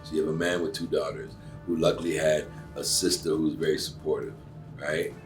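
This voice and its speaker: A middle-aged man from Cuba speaks in an extremely deep voice, earnest and emphatic as he talks through his thoughts. He is picked up by a microphone set some distance away. Faint music plays underneath. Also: flat delivery, brisk. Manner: earnest, warm-hearted, emphatic, with many fillers. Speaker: actor and acting teacher, guest. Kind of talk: casual interview conversation.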